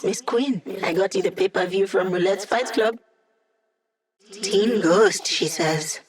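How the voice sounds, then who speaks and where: A woman voices a young hacker with a dry, deadpan wit, tossing off sardonic asides to her squad. She talks in an easy, natural voice of middling height, like a game's tech-support character. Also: medium pitch, slow pace, natural voice. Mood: wry. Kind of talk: video game voice lines.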